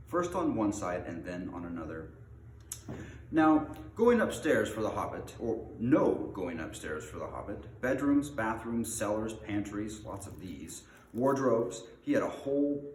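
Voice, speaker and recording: A man's voice: lowish voice, darkish tone. A man reading aloud in an informal, unpolished way, as the host of a read-aloud. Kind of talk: reading a storybook aloud with casual introduction. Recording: echoey room with faint noise.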